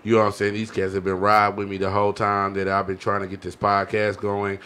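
An American man with a low voice hosts a podcast in a casual, rambling way. His delivery stays flat and even, close on a studio mic. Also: fast, clean tone. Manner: rambling, frequent "you know what I'm saying". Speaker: podcast host. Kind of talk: casual podcast sign-off talk. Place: small indoor podcast studio. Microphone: podcast mic on boom arm, headset.

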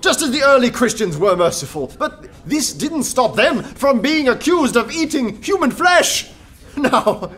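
An actor playing an anarchist poet in a radio play, making an earnest, nervous speech that pleads meekness. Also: male voice, very high voice, sing-song, a little slow, a bit bright, exaggerated voice. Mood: uneasy, fervent.

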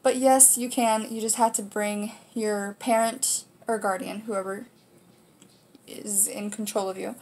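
A woman's voice: fairly high pitch, a little mellow, a little hiss or hum. A nineteen-year-old woman with an American accent, chatting casually to camera in an informal, rambling way as she hosts a video. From United States, Kansas. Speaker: video host. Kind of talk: casual vlog chat to camera. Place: small room indoors.

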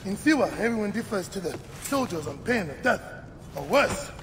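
An actor voicing a jovial, loyal male friend, hearty and gravelly. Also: high voice, very animated, normal speed, long reverb tail, background noise.

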